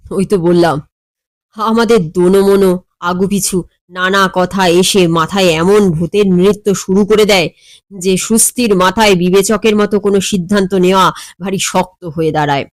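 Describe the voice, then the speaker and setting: Woman, medium pitch, expressive comic storytelling, audiobook narrator, dry close sound. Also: normal speed, dry recording.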